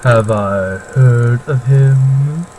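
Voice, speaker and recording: A man voicing a brooding, curious teenage boy in an amateur novel read-along, with deliberate, drawn-out lines. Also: mid-range voice, warm tone. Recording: faint noise on an online call.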